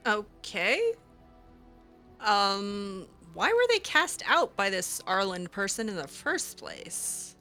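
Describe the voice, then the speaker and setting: Hesitant woman roleplaying with a bright voice. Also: medium pitch, big pitch swings, slow. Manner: hesitant, with frequent ums and restarts. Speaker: roleplaying game player. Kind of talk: improvised in-character roleplay. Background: faint game music.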